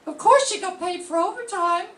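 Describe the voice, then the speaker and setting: An older woman playing a chatty, cheeky young gossip, landing each joke slowly, in an amateur radio drama reading. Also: very high voice, fairly bright tone. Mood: gossipy. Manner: slow, punchline delivery. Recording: echoey room, far from mic, faint noise.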